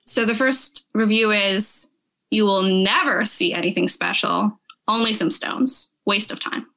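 A woman's voice: mid-range voice, unhurried, creaky, sharp tone, low-quality recording. A woman in a casual podcast chat, reading out short passages in an amused, giggly way. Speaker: podcast guest. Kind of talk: casual chat with dramatic readings of online reviews.

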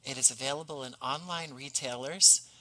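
A man with a bright, sharp-edged voice talks casually as an interview guest. He sits at a middle pitch and comes across as sincere, gently poking fun at himself. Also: normal speed, rough recording. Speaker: podcast guest, playwright.

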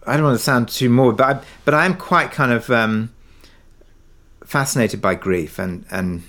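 A man's voice: medium pitch, normal speed, a bit bright. A man interviewed as a writer, speaking reflectively and tentatively as he searches for his words. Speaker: interviewed writer of an audio drama.

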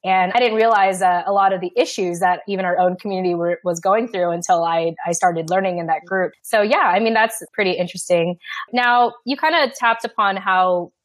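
A woman's voice: mid-range voice, fairly quick, dry recording. A woman hosting an interview, asking casual questions with many "like" and "kinda" fillers, and sharing her own Filipino American experience. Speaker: interviewer and host.

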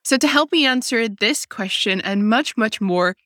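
A woman's voice: on the high side, expressive, normal speed, pushed and bright, dry recording, clean studio sound. A woman hosting a podcast, reading a polished intro and asking her guest questions. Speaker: podcast host. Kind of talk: scripted intro and interview questions.

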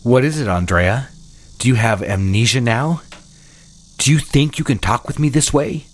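A man's voice: medium pitch, normal speed, natural voice. An actor playing a controlling, condescending husband in a dramatic audio drama, patronizing as he moves between cooing and scolding. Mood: cold, contemptuous.

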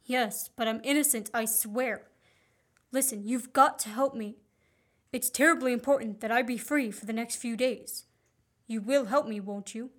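Girl doing a young guy on the run, earnest, radio play style, kinda high voice. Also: moderate pace, fairly bright tone, natural voice. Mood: urgent, pleading. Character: Richard Hannay, earnest young man, innocent fugitive. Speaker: student actor.

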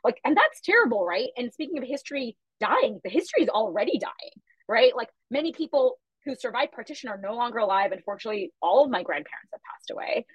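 Woman talking super fast, kinda husky, dry close sound, emphatic. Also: a little high, no room sound, rough recording. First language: Chhattisgarhi. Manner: fast, animated, emphatic storytelling. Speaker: guest, media company founder. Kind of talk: casual podcast interview.

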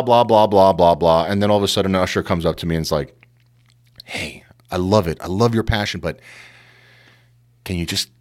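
A low-voiced man tells a story in a casual chat. He is animated and keeps dropping "you know" as he goes, and he acts out the voices of the people in his story. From Queens, New York. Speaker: guest.

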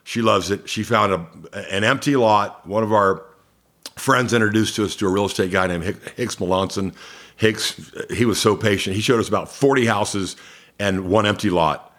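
A man's voice: fairly low pitch, normal speed, a bit bright. A man in his fifties from Georgia with an American accent, chatting casually as an interview guest who announces sports. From Columbus, Georgia, United States. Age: 50s.

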